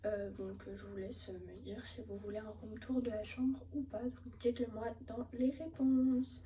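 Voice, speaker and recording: A woman's voice: fairly high pitch, fairly even intonation, normal speed, dark tone. A young woman with a French accent chatting casually in a travel vlog, rambling with fillers and stuffed up with a cold. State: has a head cold. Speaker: vlogger. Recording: noisy ambience, distant from mic.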